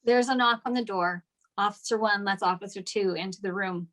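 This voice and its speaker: Mid-pitched woman reading stage directions. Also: fairly quick, a bit bright. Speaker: stage direction reader at a table read. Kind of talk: scripted narration.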